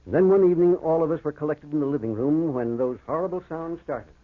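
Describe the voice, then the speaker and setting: A man's voice: a little high, quick pace, background noise, close to an everyday voice. A man playing an earnest, educated doctor in an old-time radio horror drama, speaking in a precise, formal and grave manner. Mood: grave narration. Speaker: actor and first-person narrator. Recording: muffled, tinny vintage radio recording.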